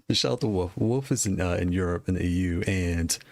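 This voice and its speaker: A man's voice: deep voice, normal speed, vocal fry, soft background music. A man casually chatting on a live stream, rambling, greeting viewers and laughing, with a voice worn from losing it. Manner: rambling, shouts out chat viewers, laughs often. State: losing his voice.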